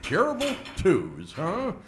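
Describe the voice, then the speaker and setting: A bumbling, folksy small-town sheriff played by a man, high in pitch and swooping wildly through his phrases. He is chatty and easily sidetracked in comic audio drama fashion. The recording has clear background noise. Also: huge pitch swings, takes it slowly, heavily acted. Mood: folksy, rambling. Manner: folksy, rambling, easily distracted. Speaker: voice actor in a comedy audio drama. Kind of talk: comedic audio drama acting.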